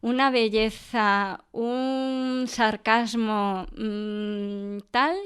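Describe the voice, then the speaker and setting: Unhurried, clear-voiced woman professor. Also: fairly high pitch, fairly even intonation, a bit bright. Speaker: professor being interviewed about classical radio theatre. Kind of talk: conversational interview, explaining.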